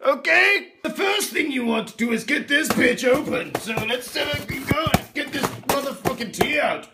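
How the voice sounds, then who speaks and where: A man's voice: very high-pitched, lively intonation, moderate pace, gravelly, background noise, voice pushed up, heavily acted. A man playing a pompous, crude rich Englishman with a mustache and monocle, in a comedy sketch video.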